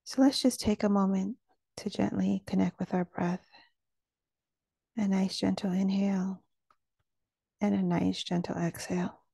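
A woman guiding a meditation in a gentle, calming manner. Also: medium pitch, unhurried, gravelly, pauses often, slightly rough, no echo. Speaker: meditation guide. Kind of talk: guided meditation narration.

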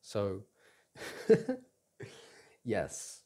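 A man playing a doting boyfriend in an intimate ASMR roleplay, speaking softly and breathily in a tender, reassuring manner. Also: lowish voice, wildly swooping pitch, very slow, exaggerated voice. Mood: playful affection. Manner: tender, reassuring, with sighs and soft chuckles. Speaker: audio roleplay performer.

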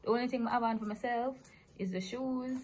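A woman's voice: on the high side, a little fast, a little mellow. A woman in her early twenties with a Jamaican accent, chatting casually as a vlogger into a phone. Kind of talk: casual vlog chat. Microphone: phone, handheld or propped nearby. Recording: faint background noise on phone recording.

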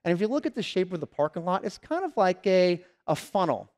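A fairly high-voiced man in his thirties delivers a keynote from a large conference stage. He tells everyday shopping stories and slips into quick voices for the customer and the salesperson. He speaks into a headset mic. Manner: lively storytelling, acting out customers and salespeople.

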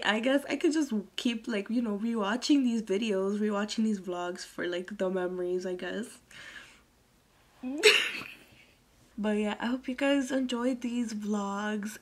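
A young woman vlogging from her bedroom, rambling casually with lots of fillers and soft trailing endings, into a close phone camera. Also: medium pitch. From Massachusetts. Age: young adult. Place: bedroom, indoors. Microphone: phone or webcam at arm's length.

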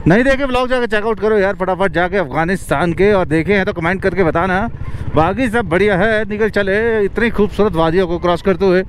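A young man casually narrating a travel vlog outdoors while riding a motorbike, chatty and excited. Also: sits high, a little hiss or hum. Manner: chatty and excited, keeps saying "yaar". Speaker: travel vlogger. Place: outdoors, riding a motorbike through a mountain valley.

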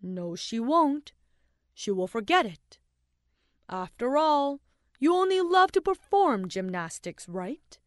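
A woman voicing a loving father in a storybook, speaking softly and reassuringly to his young daughter. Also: fairly high pitch, sing-song, takes it slowly, no echo, studio quality. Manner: soft, reassuring. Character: gentle fatherly man, calm and loving.

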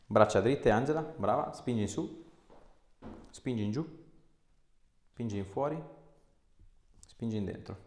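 A man in his thirties, an osteopath calmly giving short instructions and chatting with a patient during an exam. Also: mid-range voice, unhurried, long pauses. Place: small indoor clinic treatment room. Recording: echoey small treatment room.

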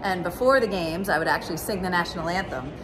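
A woman in her thirties answering interview questions in a friendly, measured way with occasional pauses, recorded on a phone held selfie-style in a large indoor lobby. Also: fairly low pitch, crowd noise, low-quality recording. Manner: friendly, measured, a bit halting. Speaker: guest, banker. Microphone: host's phone held selfie-style. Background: background music.

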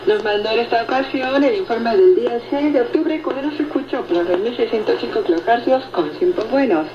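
A woman's voice: fairly high pitch, expressive, a little fast. A woman hosting a radio program, reading and answering listener reports in a conversational presenting style, heard over shortwave. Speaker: radio host. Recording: noisy shortwave radio reception, muffled, echoey. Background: loud static noise.

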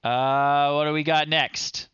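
A man in his thirties hosts a relaxed livestream with a bright, clean voice. He takes his time, pausing often, and sounds amused. The sound is close and dry. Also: a little high, clear, resonant tone, dry recording, muffled recording. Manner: unhurried, amused, halting with pauses. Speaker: livestream host. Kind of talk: casual livestream commentary, reading children's stories aloud. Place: small home room, indoors.

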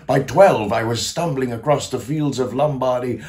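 An actor playing an aged, grandiose Italian composer near death, confiding in a theatrical stage monologue with a creaky voice and self-mocking manner. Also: male voice, low voice, a little slow, a little hiss or hum. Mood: fervent longing. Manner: theatrical, declamatory, swinging to hushed asides.

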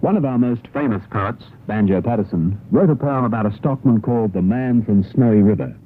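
A man's voice: lowish voice, a little fast. An Australian man presenting a radio programme, reading a scripted narration about folk songs. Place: radio broadcast. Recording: narrowband, noisy 1960s shortwave radio broadcast.

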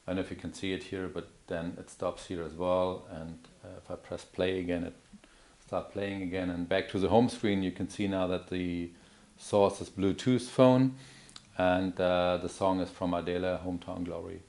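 Male demonstrator, deep voice, explaining a product off the cuff, hesitant and step by step. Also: steady tone, moderate pace, a little mellow. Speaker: product demonstrator, account manager. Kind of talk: unscripted product demo. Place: indoor office or showroom.

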